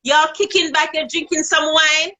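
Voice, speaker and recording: A woman's voice: steady tone, normal speed, bright, forceful tone. A woman with a Jamaican accent on a phone video call, talking frankly about dating and sex in casual chat. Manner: frank and outspoken, rising in pitch when making a point. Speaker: guest on a live video chat. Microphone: phone held in hand.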